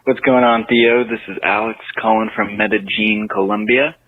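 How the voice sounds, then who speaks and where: A man's voice: lowish voice, fairly even intonation, normal speed, dry recording. A man calling in to a show, asking his question hesitantly with lots of ums and uhs. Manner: hesitant, with many fillers. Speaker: caller. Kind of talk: phoned-in question. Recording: tinny dry phone line.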